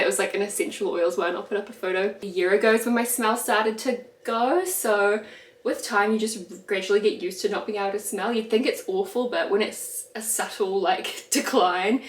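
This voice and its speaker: Young NZ woman, congested nasal voice, casual filler-heavy chat, echoey kitchen. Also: mid-range voice. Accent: New Zealand. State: congested, stuffy nose. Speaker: vlogger. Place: home kitchen. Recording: echoey room.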